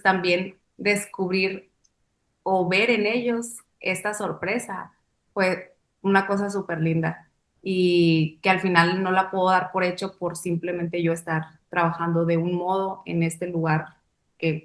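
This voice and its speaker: A young Mexican woman from Sonora, a choreographer, answering interview questions in a thoughtful way and pausing to search for words. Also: lowish voice, roomy sound. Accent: northern Mexican. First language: Spanish. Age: young adult. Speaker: guest choreographer.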